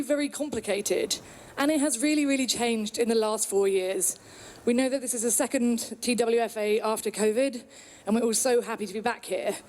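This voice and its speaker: A British woman in her thirties, from the UK, giving an upbeat presentation at a trade conference with some filler pauses. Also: on the high side, darkish tone. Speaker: conference speaker. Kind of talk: presentation talk.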